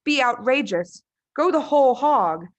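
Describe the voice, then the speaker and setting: A woman's voice: sits high, animated, measured pace, no room sound, pitched above the performer's own voice. A woman reading a storybook, voicing a clever, matter-of-fact little schoolgirl who explains things firmly and knowingly. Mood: sure, knowing.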